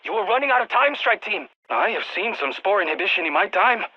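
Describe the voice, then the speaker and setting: A woman voicing an eager, geeky field scientist in a video game, with a Latin American Spanish accent, talking over radio comms in an expressive, quirky way. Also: medium pitch, quick pace, muffled recording. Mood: excited. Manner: expressive, quirky, nerdy enthusiasm. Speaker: mission guide scientist.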